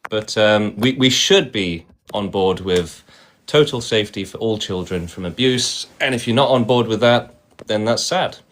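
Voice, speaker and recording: A man's voice: lowish voice, moderate pace, a bit bright, slight background noise. A man hosting a debate stream, arguing insistently and often tagging his points with "right?". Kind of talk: argumentative debate.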